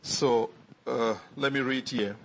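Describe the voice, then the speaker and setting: A middle-aged man from Africa whose first language is French, preaching a sermon as a visiting pastor, talking quickly with many fillers and restarts. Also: medium pitch, fairly even intonation, vocal fry, rough recording. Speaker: guest pastor.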